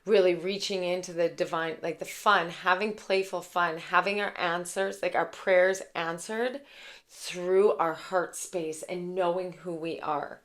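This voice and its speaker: A woman with a raspy voice giving a casual, chatty tarot reading, full of fillers and warm asides to her viewers. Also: on the low side, steady tone, a little slow. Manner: gushing, chatty, many fillers like "like" and "okay". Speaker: tarot reader and intuitive.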